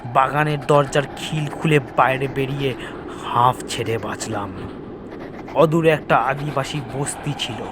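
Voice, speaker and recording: A man's voice: mid-range voice, background noise. A man narrating a scripted first-person horror story in a dramatic, suspenseful way.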